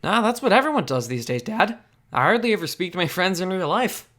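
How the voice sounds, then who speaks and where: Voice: mid-range voice, a little fast. A performer playing a sarcastic, insecure teenage boy who narrates his would-be superhero life with wry self-mockery in a comic dub. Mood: evasive. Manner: wry, self-mocking, expressive. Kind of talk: first-person narration and comic dub acting. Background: music.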